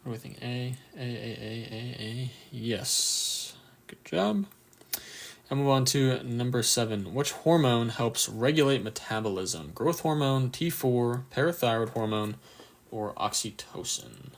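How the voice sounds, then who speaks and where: A man with a croaky, just-woken-up voice runs a casual live quiz for anatomy students, reading answer options and cheering on viewers' replies. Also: medium pitch, expressive, measured pace. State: just woke up, a bit tired. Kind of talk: casual livestream quiz review.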